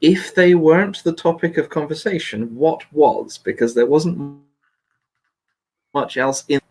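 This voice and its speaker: A man talking casually through politics, in a measured, questioning way that hedges his claims. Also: fairly high pitch, fairly even intonation, darkish tone. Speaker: host discussing a political transcript. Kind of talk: casual conversational political discussion.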